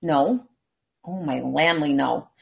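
A woman's voice: deep voice, slow pace, gravelly, muffled recording. A young woman hosting a casual group chat, speaking informally with mild, folksy exclamations. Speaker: host of a show with relatives.

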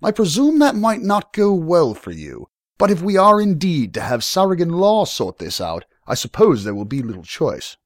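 A man's voice: medium pitch, brisk, hardly any pauses, no echo, studio quality, voice pushed up. A man voicing a young noble lord in a fantasy audiobook, formal, imperious and coldly menacing. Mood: ominous, controlled. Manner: formal, imperious, bellowing or ominously calm. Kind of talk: fantasy audiobook character acting.

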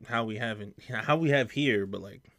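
A man's voice: on the low side, moderate pace, creaky, a bit bright. A man co-hosting a casual podcast, playful and teasing, with slangy banter. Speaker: podcast co-host. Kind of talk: casual chat.

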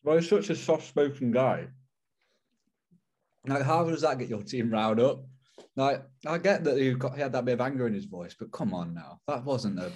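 A man's voice: mid-range voice, expressive, moderate pace, a little mellow, husky. A young adult man co-hosting a casual football podcast, chatty and laid-back with fillers. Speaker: podcast co-host. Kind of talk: casual chat.

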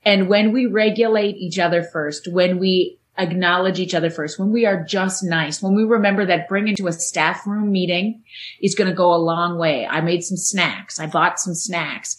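A woman's voice: fairly low pitch, moderate pace. A woman speaking passionately as a podcast guest, emphatic and building her points through repetition. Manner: emphatic, uses repetition for effect. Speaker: podcast guest, educator speaking on staff wellbeing. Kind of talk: passionate conversational interview talk.

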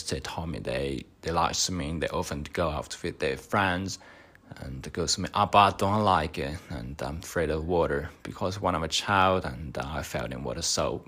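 Young guy, really low voice, slow and halting, lots of uh and um. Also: a bit bright. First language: Chinese. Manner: halting, hesitant speech with many fillers. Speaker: podcast host. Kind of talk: mock speaking exam answers.

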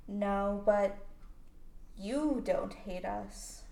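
A weary, well-mannered middle-aged mother acted by a woman in an amateur radio drama. She speaks slowly and deliberately with a tired bitterness, and the room echoes around her. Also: mid-range voice, dark tone, a little hiss or hum. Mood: grateful, confiding. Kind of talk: amateur radio play acting. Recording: roomy home recording.

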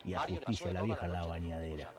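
Male actor, Rioplatense accent, low pitch, dark relaxed timbre, slangy irreverent faux film expert, radio comedy. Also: fast, lightly acted. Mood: evasive, rambling. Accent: Argentine Rioplatense. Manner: chummy, rambling street slang. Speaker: radio show co-host. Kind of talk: comedic radio theatre banter.

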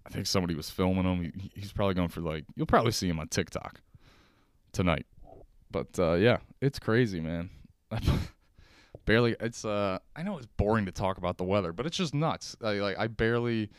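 An American man with a low, smooth voice talks casually as a solo podcast host. He rambles along in loose, slangy phrasing. The sound is dry and close, with very little room in it. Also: expressive, brisk, no echo. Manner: rambling, informal, slangy, peppered with profanity. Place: at a kitchen table at home.

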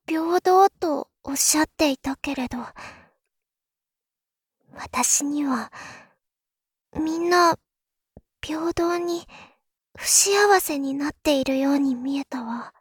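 A woman playing a naive, eager young princess, speaking in a girlish, expressive, confiding way in a Japanese voice drama monologue. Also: high voice, slow, long pauses, no echo, studio quality. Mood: puzzled, concerned, then solemn, resolute.

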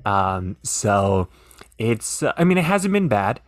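A man's voice: lowish voice, very animated, slow, dry recording, clean studio sound. Hesitant, rambling male co-host. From Pennsylvania. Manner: hesitant, rambling, many restarts. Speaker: podcast co-host. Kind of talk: casual chat.

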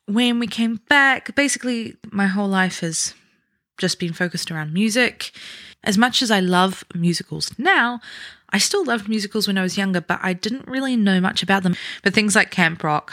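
A young woman with a New Zealand accent hosts a solo podcast, telling her story in a chatty, unhurried way. Her voice sits close and dry, with no room sound around it. She pokes a little fun at herself as she goes. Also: mid-range voice, a bit bright, dry recording. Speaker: podcast host. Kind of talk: casual solo podcast talk.

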